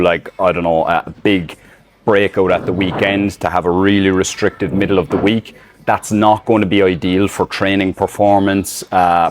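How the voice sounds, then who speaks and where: An Irish man, a fitness coach, talking casually to a phone camera outdoors, loose and rambling with many fillers. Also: sits low. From Ireland. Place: outdoors in public, filming selfie-style. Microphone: phone camera in selfie mode.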